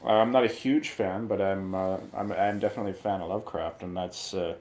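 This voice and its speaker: Low-voiced man giving casual opinions. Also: steady tone, fairly quick, darkish tone. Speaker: guest film reviewer. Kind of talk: casual film discussion.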